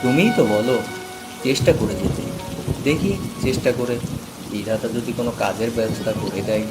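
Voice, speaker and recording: A man's voice: mid-range voice, lively intonation, a little fast, close to an everyday voice. A man playing a poor, humble, hardworking village husband in a moral-story cartoon, with rain sounds behind him. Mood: earnest, resigned. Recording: music and rain under the voice.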